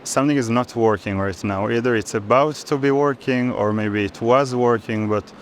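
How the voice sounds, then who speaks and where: A man's voice: medium pitch, moderate pace. A man in his thirties with an Israeli accent, an artist thoughtfully explaining his work in an interview, often searching for words. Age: 30s. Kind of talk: reflective explanation of own artwork. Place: busy art fair. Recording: clear urban background noise at fair.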